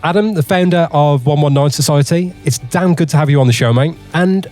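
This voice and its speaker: Host: man, mellow warm tone, chatty and matey, soft music underneath. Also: a little high, brisk. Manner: chatty and matey, calls the guest mate. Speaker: podcast host. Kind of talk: casual interview talk.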